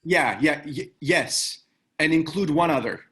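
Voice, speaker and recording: A man's voice: a little high, animated, a little slow, fairly bright tone, husky, heavily acted. A man playing a terse, guarded ex-military operative in a screenplay table read. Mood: terse, commanding.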